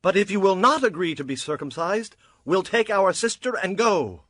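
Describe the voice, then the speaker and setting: A man's voice: high-pitched, expressive, normal speed, a bit bright, dry recording. An actor playing an emphatic, declamatory biblical tribesman in a dramatized audio Bible. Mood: indignant. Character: forceful biblical tribesmen: brothers, fathers, suitors.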